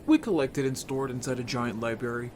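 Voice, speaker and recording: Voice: mid-range voice, fast talker, darkish tone, slight background noise, natural voice. An actor playing an upbeat, bold young merfolk scout in an audio story, chatty, casual and playfully defensive. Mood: casual, explaining. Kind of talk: audio story character acting.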